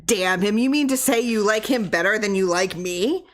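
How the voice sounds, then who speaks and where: A woman's voice: medium pitch, moderate pace, natural voice. A woman plays a man gripped by fear and anger in a horror audiobook. He mutters bitter taunts at an enemy only he believes in, leaning hard on his words. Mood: defiant, bitter. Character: fearful, angry man obsessed with his own skeleton.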